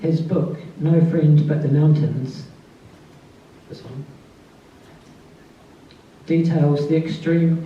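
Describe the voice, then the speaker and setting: A soft-spoken man speaks at a book festival event, first introducing a fellow writer and then reading his own short piece. His voice is dark and mellow and sits a little high, and it comes from some way off the mic. The sound is dull, with heavy room echo and a faint hiss. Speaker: author giving a tribute reading. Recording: distant, dull, very echoey room.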